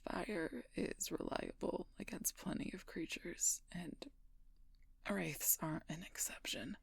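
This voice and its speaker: Woman acting a gravelly, whispering wounded werewolf knight. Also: fairly low pitch, dry recording, heavily acted. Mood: impressed, wry. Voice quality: breathy, husky, gravelly whisper. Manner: halting, weary, pained delivery. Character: wounded, dutiful female werewolf knight, wryly self-sacrificing protector. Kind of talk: intimate audio roleplay, whispered acting.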